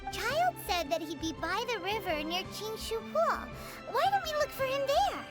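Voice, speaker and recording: A woman voicing a tiny, cheeky, bossy floating fairy companion in a video game, with a squeaky childlike voice. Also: big pitch swings, normal speed, loud music behind the voice. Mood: thoughtful, upbeat. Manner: refers to self in third person.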